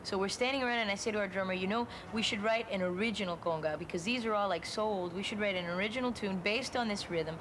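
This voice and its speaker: A woman's voice: mid-range voice, noisy background. Chatty woman telling stories in an interview. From Cuba. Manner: chatty, acts out remembered dialogue. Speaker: interviewee, musician. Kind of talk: interview storytelling.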